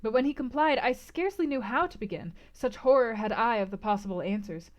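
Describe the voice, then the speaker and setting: A woman's voice: fairly high pitch, animated, brisk. A woman reading literary prose aloud as a book club host, with theatrical, emphatic phrasing. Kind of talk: dramatic audiobook-style literary narration.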